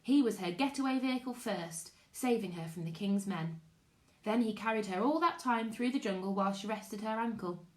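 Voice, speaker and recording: A woman, a teacher, giving an expressive live read-aloud of a children's story and chatting to her listeners. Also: medium pitch, normal speed. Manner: expressive storytelling with character voices. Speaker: teacher reading to pupils online. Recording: echoey room.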